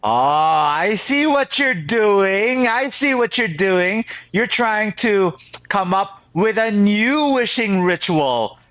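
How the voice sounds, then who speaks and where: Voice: medium pitch, animated, takes it slowly, fairly bright tone, no room sound. A game streamer doing reaction commentary, exclaiming and repeating words in amazement while playing a story game.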